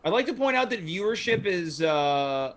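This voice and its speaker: A man's voice: high voice, big pitch swings, moderate pace, a bit bright. A man hosting a talk show in casual, conversational chat.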